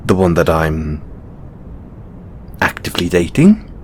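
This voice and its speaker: A man playing an affectionate, stressed god and boyfriend in an intimate audio roleplay, speaking in a halting, softly playful way. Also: deep voice, very animated, a little hiss or hum. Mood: defensive, flustered.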